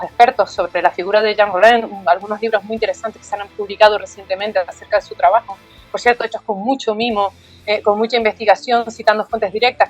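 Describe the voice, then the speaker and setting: A woman guest talking about film, thoughtful and full of fillers and tag questions. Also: mid-range voice. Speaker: guest, art historian. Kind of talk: conversational film talk.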